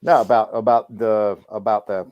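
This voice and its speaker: Male podcast panelist, low pitch, dry close sound, informal and a little salty in casual group talk. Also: steady tone, normal speed, no room sound, highs cut off. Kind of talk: casual group chat on a livestream.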